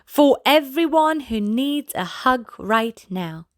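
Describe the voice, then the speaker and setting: A woman reading a children's picture book aloud as a storyteller, in a gentle, deliberate manner addressed to young listeners. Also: high voice, lively intonation, no echo, studio quality. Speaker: children's storybook reader, channel host. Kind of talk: read-aloud bedtime story narration for children.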